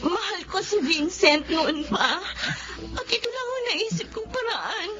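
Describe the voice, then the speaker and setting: Lovesick young Filipina, slow, emotional, very high voice, radio melodrama, scheming sister. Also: female voice, big pitch swings, heavily acted. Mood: tearful, confessing. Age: young adult. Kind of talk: Tagalog radio drama acting. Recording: dull, heavily noisy radio drama audio.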